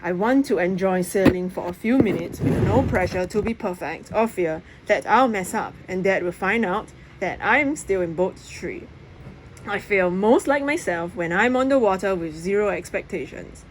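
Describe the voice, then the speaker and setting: A woman's voice: medium pitch, lively intonation, normal speed. A woman reading a children's novel aloud as first-person narration. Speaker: audiobook reader. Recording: faint background noise.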